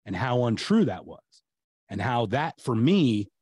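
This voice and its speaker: A man's voice: mid-range voice, very animated, a little slow, a little mellow, husky, dry recording. Middle-aged American man, podcast host, rambling casual talker, dry video-call audio. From United States. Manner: rambling, many restarts and fillers. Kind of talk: casual interview chat. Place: home room, video call.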